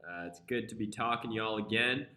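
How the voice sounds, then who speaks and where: A man's voice: fairly low pitch, brisk, pushed and bright, reverberant. A young man with a flu-congested voice hosting a casual live stream, laid-back and profane as he talks through charts.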